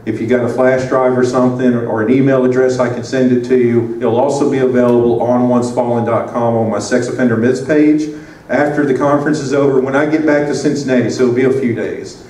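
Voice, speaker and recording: A man's voice: medium pitch, flat delivery, quick pace, mellow, far from the mic. Rambling man speaking at a conference. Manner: rambling, many fillers and restarts. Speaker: conference presenter. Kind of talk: conference talk. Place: conference room. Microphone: handheld microphone. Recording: roomy sound from off mic with faint noise.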